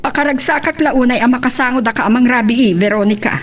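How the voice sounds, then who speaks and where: A woman's voice: a little high, fast talker, close to an everyday voice. A woman playing a welcoming middle-aged mother in a radio drama, with a Filipino accent. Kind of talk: Ilocano radio romance drama acting.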